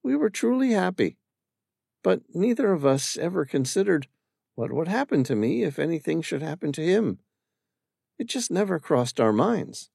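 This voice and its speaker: A man's voice: on the high side, sing-song, creaky, darkish tone, slightly rough, no room sound, clean studio sound, pitched above the performer's own voice, heavily acted. A man reading aloud, voicing a wary, determined woman stranded abroad who guards her secrets. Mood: wistful. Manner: wary, quick to shut down.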